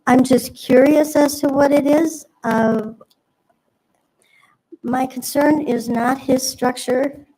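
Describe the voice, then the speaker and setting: A woman's voice: on the high side, warm tone, low-quality recording. A woman making a public comment at a city meeting, polite and hesitant, rambling with fillers. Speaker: resident speaking at a public hearing.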